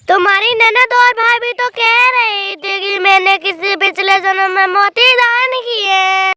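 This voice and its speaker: A woman's voice: extremely high pitch, heavy background noise. A woman voicing a middle-aged, exasperated mother bird in a cartoon story, scolding and lecturing with affectionate words. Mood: gushing. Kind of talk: Hindi cartoon story dialogue.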